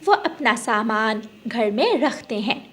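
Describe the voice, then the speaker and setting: A woman tells a scripted children's story. Her voice is high-pitched, and she works as the narrator of a cartoon tale. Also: expressive, takes it slowly, a bit bright, breathy edge.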